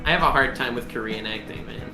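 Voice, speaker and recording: A man hosting a casual podcast, joking and heckling his co-hosts in an animated way. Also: mid-range voice, fast talker. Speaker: podcast host. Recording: echoey room with music underneath.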